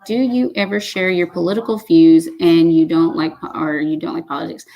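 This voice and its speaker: A woman's voice: lowish voice, steady tone, brisk, highs cut off. A woman, hosting a casual live stream and chatting folksily as she reads comments aloud. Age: 50s. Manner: chatty and folksy, says y'all.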